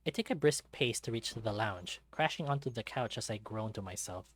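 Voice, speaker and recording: A man's voice: on the low side, lively intonation, moderate pace, dry recording. A man reading scripted visual novel narration as the storyteller. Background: music.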